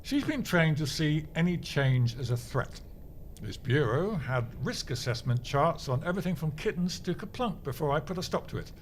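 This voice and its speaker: A man's voice: on the high side, lively intonation, moderate pace, a little hiss or hum, lightly acted. A man acting a grumpy, pompous, melodramatic office clerk in a comedy audio drama, blustering with theatrical indignation. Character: pompous, grumpy, melodramatic male bureau clerk, martial-arts dabbler.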